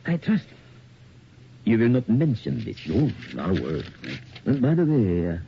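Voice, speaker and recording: Gravelly, ominous genteel gentleman. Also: on the low side, huge pitch swings, dull audio, a little hiss or hum, exaggerated voice. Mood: ominous, formal. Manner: formal, measured, insistent speech. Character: secretive, genteel, wealthy man, ominous and formal. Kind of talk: radio horror drama acting.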